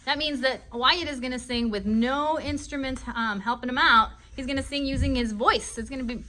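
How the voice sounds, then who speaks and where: Chirpy, very high-pitched puppet buddy. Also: female voice, normal speed, sharp tone. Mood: cheerful, encouraging. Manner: chirpy, encouraging, explains simply. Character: friendly, encouraging puppet buddy hosting a kids' club. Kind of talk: children's live puppet show.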